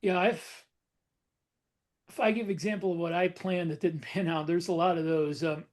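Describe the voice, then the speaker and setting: A man co-hosting a podcast chats in a relaxed way and often breaks into small laughs. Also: high-pitched, normal speed. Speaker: podcast co-host, author. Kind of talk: casual panel chat.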